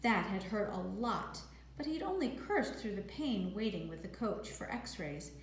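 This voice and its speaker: A woman reading a children's novel aloud as a teacher would, in expressive storybook narration. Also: medium pitch, unhurried. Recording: echoey room, faint background noise.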